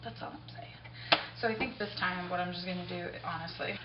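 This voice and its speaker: A woman's voice: fairly low pitch, fairly even intonation, brisk, heavy background noise. A young woman talks in an easygoing, rambling way to the camera while cooking in a home kitchen. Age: young adult. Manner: easygoing, rambling, self-excusing. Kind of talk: casual unplanned chat to camera while baking. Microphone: camera mic a few feet away. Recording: roomy, distant, dull camera audio with music.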